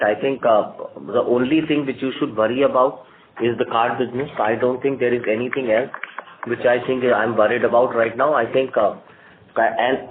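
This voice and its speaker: Man on a noisy, tinny conference phone line. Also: mid-range voice. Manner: halting, repeats words. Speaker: bank executive answering analyst questions. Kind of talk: unscripted earnings call Q&A.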